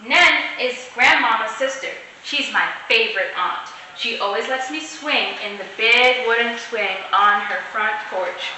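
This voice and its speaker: A woman reading a children's storybook expressively, in an animated way that speaks directly to young listeners. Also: on the high side. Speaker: read-aloud storyteller for children. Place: echoey room at home. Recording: echoey room, distant mic, faint noise.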